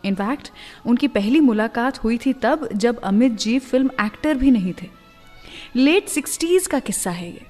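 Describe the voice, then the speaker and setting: A woman radio host tells stories in a chatty, confiding manner, dropping English words into her sentences. Her voice sits a little high. It rings out with a long reverb, and soft music plays faintly underneath. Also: lively intonation, measured pace. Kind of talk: scripted radio storytelling.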